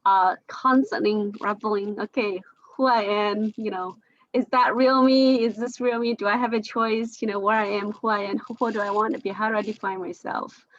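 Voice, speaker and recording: A woman's voice: mid-range voice, fairly even intonation, moderate pace, muffled recording. A woman from China, speaking English as a second language, moderating a casual video-call discussion with frequent restarts, fillers and laughs. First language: Chinese. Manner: hesitant, many restarts and fillers, laughs often. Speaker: panel moderator.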